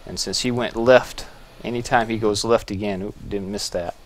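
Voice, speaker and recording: Low-voiced man musing over gameplay. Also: moderate pace. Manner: relaxed, musing, thinking aloud with small restarts. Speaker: gameplay video host. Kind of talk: casual gameplay commentary.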